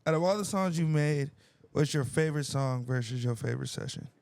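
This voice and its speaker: A man hosting a podcast, asking questions in a relaxed, slangy way. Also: fairly high pitch, brisk, creaky, no room sound. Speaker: podcast host. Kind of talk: casual interview.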